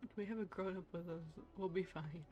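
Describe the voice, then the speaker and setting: A woman's voice: fairly low pitch, fairly quick, highs cut off. A woman streaming a game, making casual, offhand, muttered comments as she plays. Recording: game music behind the voice.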